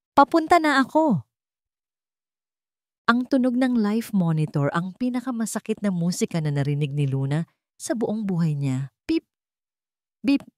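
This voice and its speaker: A woman's voice: on the low side, mellow, no room sound, clean studio sound. A woman storyteller reading scripted drama narration in a solemn, dramatic manner.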